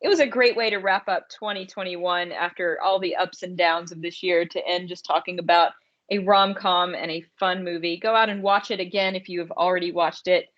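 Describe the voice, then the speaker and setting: A woman podcast co-host with a slightly low voice, chatting casually as in a movie review. She speaks emphatically, doubling up words for stress. The recording is a little dull and lo-fi. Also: fairly even intonation, brisk, a bit bright. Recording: dull lo-fi audio.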